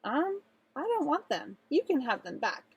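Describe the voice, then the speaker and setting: High, eager little brother voiced by a woman. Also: big pitch swings, normal speed, slight background noise. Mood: eager, sheepish. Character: excitable little brother, envious but good-hearted young boy.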